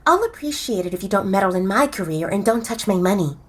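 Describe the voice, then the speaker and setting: A woman's voice: medium pitch, animated, fast talker, slightly rough. A woman voicing a blunt, confident young she-wolf fashion model in an audio drama, speaking directly and persuasively.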